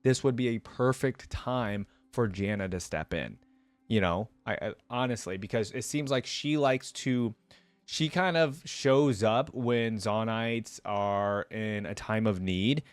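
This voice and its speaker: A man's voice: on the low side, a little slow, clean tone, no echo. A young adult man, hosting a reaction video in casual, rambling chat.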